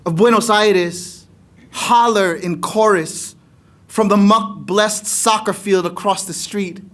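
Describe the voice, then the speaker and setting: A middle-aged American man speaks as a poet at a live reading. His voice sits high for a man, and his delivery is expressive and emphatic. He talks into a podium microphone in an indoor room. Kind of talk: casual introduction and poetry reading to an audience. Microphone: podium gooseneck microphone.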